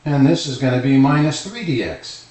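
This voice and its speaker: A man's voice: medium pitch, lively intonation, normal speed, darkish tone. A man teaching calculus informally at a board, thinking aloud as he explains each step. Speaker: math teacher. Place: indoor room, at a board. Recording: echoey room, distant microphone.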